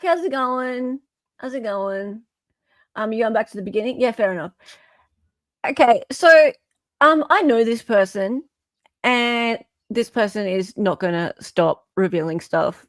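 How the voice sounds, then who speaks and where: A woman's voice: on the high side, lively intonation, a little slow, no echo. Australian woman, emphatic livestream host, dry sound. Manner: emphatic, repeats phrases for effect. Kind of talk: casual live chat commentary.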